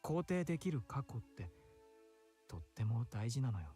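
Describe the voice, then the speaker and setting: A male actor playing a soft-spoken, effeminate, anxious young man in a Japanese visual novel, with feminine phrasing and a hushed, pensive manner. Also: medium pitch, sing-song, quick pace, natural voice. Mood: wistful, earnest. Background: game music.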